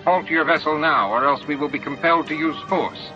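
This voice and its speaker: Alien military commander, male narrator acting: blunt, forceful, curt orders, higher pitched, music behind. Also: a little fast. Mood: stern. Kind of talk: audiobook character acting. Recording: dull lo-fi audiobook tape with music.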